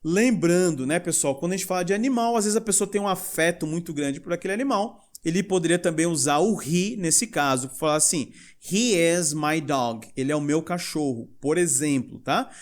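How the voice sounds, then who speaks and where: A young male English teacher with a Brazilian Portuguese accent, explaining grammar in a lively way and reading example sentences slowly. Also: on the high side. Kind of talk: recorded language lesson.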